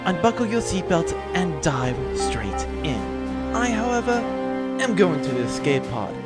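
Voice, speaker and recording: A man's voice: sits high, very animated, measured pace, loud music behind the voice. A man as an exasperated, prim manservant. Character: long-suffering, exasperated, prim male manservant. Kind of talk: comic sci-fi audio drama.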